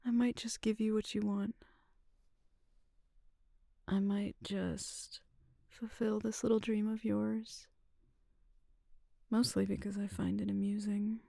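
A woman performing an ASMR roleplay in a soft, teasing, drawn-out whisper, close to the mic. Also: medium pitch, little pitch movement, creaky, no echo.

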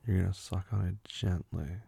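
A man acting as a dominant male lover with a slow, low murmur, thick with gravelly fry. He is teasing and commanding in an intimate roleplay style, his voice very breathy and often close to a whisper. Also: expressive, dark tone, dry recording, full character voice. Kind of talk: intimate ASMR audio roleplay for adults.

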